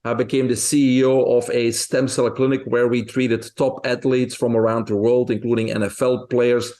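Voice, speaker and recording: A man's voice: mid-range voice, little pitch movement, moderate pace, darkish tone. A man in his fifties with a Belgian accent, answering interview questions conversationally as a health coach and speaker. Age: 50s.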